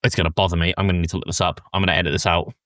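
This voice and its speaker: A man with a fairly low voice talks on a podcast in a very dry-sounding space. He recaps a film casually, rambling and stopping to search for words, and he swears freely. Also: flat delivery, a bit bright, dry recording. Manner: rambling and halting, frequent swearing. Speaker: podcast host.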